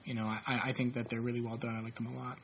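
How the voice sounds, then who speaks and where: A man's voice: mid-range voice, monotone, racing, creaky, slight background noise. A man in his late twenties with a stuffy, sniffly nose, chatting casually in a rambling, profane, self-mocking way. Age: late 20s. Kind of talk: casual chat.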